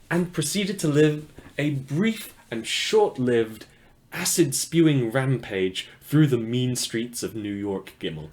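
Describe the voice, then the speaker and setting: A man's voice: mid-range voice, a little mellow, close to an everyday voice. A man playing a wry, awkward, self-deprecating small-time radio news host in a fiction podcast set after the apocalypse. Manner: rambling, self-deprecating, dryly sardonic.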